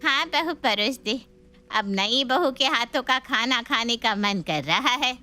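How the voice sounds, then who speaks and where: Woman doing a middle-aged aunt, nagging, insistent, sharp bright tone, high pitch, storybook drama. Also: lively intonation, measured pace, soft background music. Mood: expectant.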